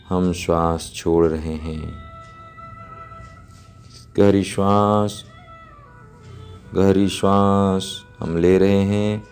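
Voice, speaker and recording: A man with a low, mellow voice guides a relaxation meditation at a very unhurried pace. He gives calm instructions in short, measured phrases. Instrumental music plays behind him. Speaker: yoga nidra instructor.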